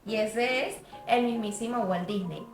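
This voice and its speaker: A woman's voice: fairly high pitch, measured pace. A young woman reading a scripted documentary narration as a student narrator. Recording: roomy voice-over with music bed.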